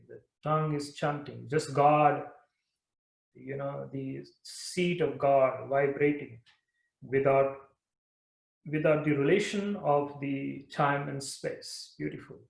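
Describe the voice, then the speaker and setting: A man leading a guided mantra meditation, talking slowly and repeating phrases in a soothing way. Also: on the high side, gravelly, echoey room, low-quality recording. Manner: slow, repetitive, soothing guidance. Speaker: meditation teacher.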